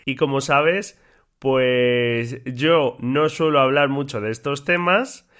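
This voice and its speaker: A man with an average pitch hosts his own podcast alone, nasal congestion lending his voice a slightly stuffy, thick edge. He talks in a loose, friendly, conversational way, full of fillers and small restarts. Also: takes it slowly. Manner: relaxed, chatty, many fillers and restarts. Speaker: podcast host. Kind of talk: casual solo podcast talk.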